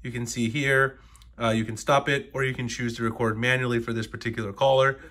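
A man's voice: mid-range voice, level tone, brisk, fairly bright tone. An American man hosting a casual step-by-step tech tutorial in a conversational, explanatory way. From United States. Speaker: tech reviewer, tutorial host.

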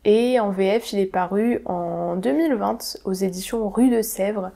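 A woman's voice: mid-range voice, measured pace, vocal fry, warm tone. A young woman in her twenties reviewing books in a casual, chatty vlog, with frequent fillers and restarts, talking to the camera at home. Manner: chatty, self-deprecating, many fillers and restarts. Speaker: book vlogger. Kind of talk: casual book review talk. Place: home room, indoors.

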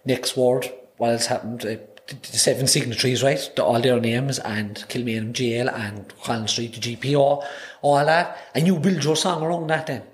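A man's voice: medium pitch, fairly even intonation, moderate pace, few pauses. A man in his thirties with a Cork Irish accent, a podcast guest chatting casually and rambling through stories with many restarts. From Ireland. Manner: rambling storyteller, many restarts and repeated words. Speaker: podcast guest, singer-songwriter. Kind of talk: casual chat. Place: small podcast studio indoors. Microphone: desk mic on boom arm.

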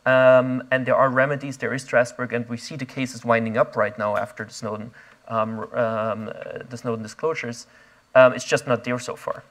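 A young man, speaking onstage at a conference, quick and restless with many fillers and restarts, recorded on a lapel mic. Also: mid-range voice, flat delivery, fairly bright tone. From Austria. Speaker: invited speaker. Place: conference stage before an audience.

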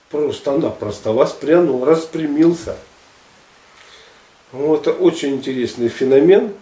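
A man in his sixties talking casually to camera in a halting, emphatic, colloquial way. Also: on the high side, mellow, a little hiss or hum. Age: 60s. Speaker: health and fitness vlogger. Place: furnished living room at home, indoors. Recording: echoey room, camera at a distance.

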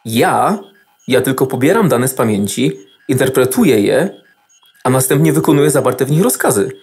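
A literal-minded processor from a children's radio play. He has a mid-pitched voice and sounds mechanical, matter-of-fact and wearily overworked. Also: animated, moderate pace, darkish tone, husky, soft background music. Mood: matter-of-fact, weary.

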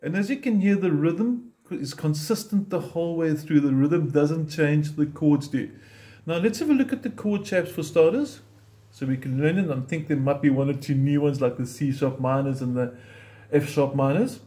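A man's voice: a little high, normal speed, warm tone. Patient man teaching ukulele chords. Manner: patient, methodical, explains while playing. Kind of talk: step-by-step instrument tutorial.

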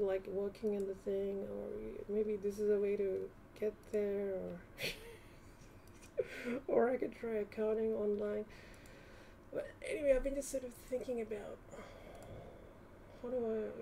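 A woman's voice: medium pitch, creaky, warm tone, a little hiss or hum. A woman vlogger thinking aloud casually in a rambling, hesitant way as she browses on screen.

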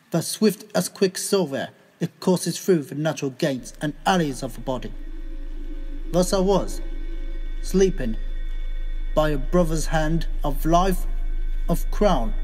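A murdered king's ghost declaims slowly in a cavernous reverb. The voice is fairly high for a man, solemn and commanding, in Shakespearean radio-play style. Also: a little mellow, slightly rough. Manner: slow, formal declaiming in archaic verse. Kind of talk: Shakespearean audio drama.

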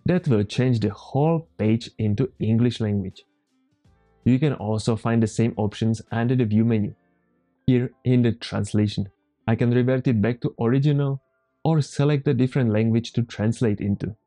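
A man in his thirties with a Czech accent, calmly walking viewers through a computer tutorial step by step as the channel's host. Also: medium pitch, moderate pace, soft background music. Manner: calm, methodical step-by-step explaining. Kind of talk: screen-recorded software tutorial. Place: home room, indoors.

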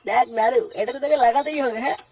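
A performer voicing a short-tempered, menacing male gang boss in a cartoon comedy, with a creaky voice and threatening delivery. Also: medium pitch, rapid-fire, darkish tone, natural voice. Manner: swearing, threatening. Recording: noisy, slightly distant, with ambience.

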